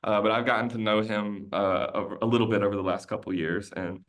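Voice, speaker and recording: Low-voiced man rambling through a story. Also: fairly even intonation, quick pace. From United States. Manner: rambling storyteller, loops back and restarts often. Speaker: podcast guest. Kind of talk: casual conversational interview.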